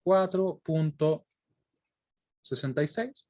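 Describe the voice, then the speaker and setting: A man's voice: fairly high pitch, lively intonation, slow, a little mellow. A man with a Chilean accent giving an explanatory lecture to students, working through examples step by step and often checking in with short questions. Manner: didactic, frequent check-in questions. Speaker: university lecturer.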